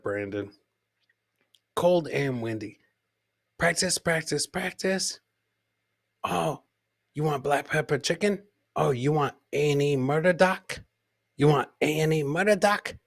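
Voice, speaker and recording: A man's voice: on the high side, no echo, studio quality. A man hosting a live comedy podcast, giving casual, rambling commentary on clips and repeating his catchphrases.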